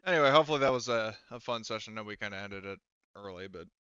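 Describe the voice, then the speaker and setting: A man's voice: on the low side, racing, pushed and bright, lo-fi sound, slight background noise. A man chatting casually as the game master of a tabletop roleplaying session.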